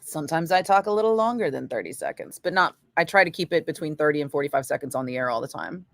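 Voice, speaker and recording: A middle-aged woman radio DJ chatting casually with viewers between breaks, profane and quick to laugh. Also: low-pitched, expressive, vocal fry, fairly bright tone, no room sound. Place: radio studio.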